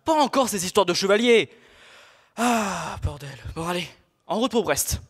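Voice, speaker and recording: Young guy doing a grumbling teasing sidekick, kinda high voice, hall reverb, student audio play. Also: lively intonation, normal speed, a bit bright, slightly rough, heavily acted. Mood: exasperated. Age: teenager, high-school student. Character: exasperated, mocking down-to-earth sidekick of knight. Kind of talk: amateur student audio drama. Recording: reverberant room.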